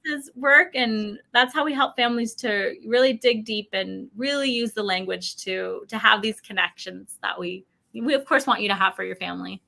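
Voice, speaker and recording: An American woman in her thirties giving a casual, chatty product pitch in an interview, acting out little quotes as she goes. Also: moderate pace, vocal fry, hardly any pauses. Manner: animated, uses mimicked quotes and frequent "like". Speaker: company representative presenting a product.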